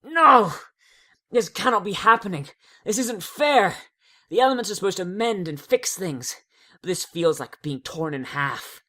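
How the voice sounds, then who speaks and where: A reader acts out an earnest young female unicorn from a fan-fiction drama. Her delivery is theatrical and intense, swinging widely in pitch with strong emphasis. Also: lively intonation, a little slow, bright, forceful tone. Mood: anguished. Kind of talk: first-person dramatic fan fiction reading.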